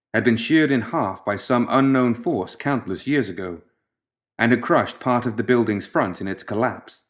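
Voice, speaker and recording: A man reading scripted fiction as an audiobook narrator, in a measured, dramatic storytelling manner. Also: on the low side.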